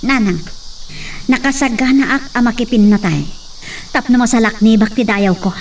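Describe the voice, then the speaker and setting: A woman's voice: fairly high pitch, moderate pace, a little mellow, far from the mic, a little hiss or hum, close to an everyday voice. A woman playing a brave, earnest daughter in a melodramatic radio drama, defiant and ready to defend her honour.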